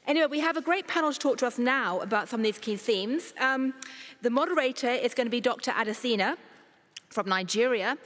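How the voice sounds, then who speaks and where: A woman's voice: mid-range voice, a bit bright, big-room sound. A woman hosting a live conference, introducing speakers and stopping for brief asides. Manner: fluent, stops for asides while sorting notes. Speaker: conference host.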